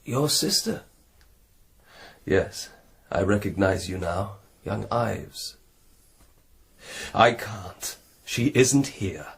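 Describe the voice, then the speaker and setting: An ailing lord in a medieval radio drama. His voice is husky and breathy, and he speaks slowly, with halting, evasive courtesy. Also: lowish voice, animated, full character voice. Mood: halting, uneasy. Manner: slow, halting, formal. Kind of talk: British radio drama acting.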